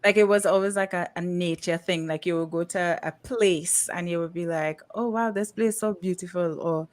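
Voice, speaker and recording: A woman's voice: fairly low pitch, steady tone, moderate pace. Chatty woman hosting a livestream. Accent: Caribbean. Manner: chatty, laughs often, uses fillers like "um" and "you know". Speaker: podcast host. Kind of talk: casual livestream chat.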